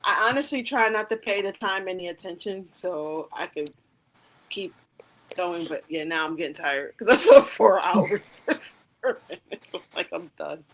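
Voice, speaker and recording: A woman's voice: on the low side, animated, unhurried, slight background noise. Laughing woman on a stream call. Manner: laughs often, easygoing. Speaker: cosplayer guest. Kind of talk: casual chat on a live stream.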